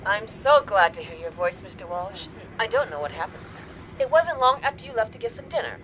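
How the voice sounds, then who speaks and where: A woman's voice: medium pitch, lively intonation, a little fast. A woman playing an earnest, uneasy store hostage in an audio drama, speaking over a telephone. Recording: muffled phone line, faint background noise.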